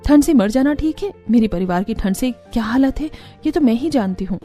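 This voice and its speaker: A woman's voice: on the high side, lively intonation, a little fast, warm tone, faint music behind, natural voice. A woman voicing a resolute, caring young village mother in a Hindi cartoon story, earnest and plain-spoken. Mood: defiant.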